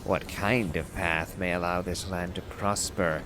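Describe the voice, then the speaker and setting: A man's voice: sits low, fairly even intonation, unhurried, noisy background. A man voicing a proud, stern ancient dragon from a game, in formal, declamatory phrases. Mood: troubled, solemn. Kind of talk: dramatic reading of game lore.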